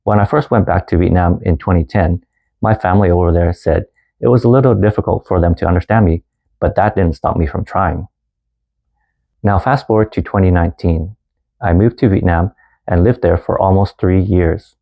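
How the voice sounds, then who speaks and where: A man in his thirties who grew up in Canada, narrating a personal story as a language teacher in a calm, earnest way and mixing two languages. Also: sits low, moderate pace, gravelly, muffled recording. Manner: calm, earnest storytelling, switching between Vietnamese and English. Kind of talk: scripted lesson narration.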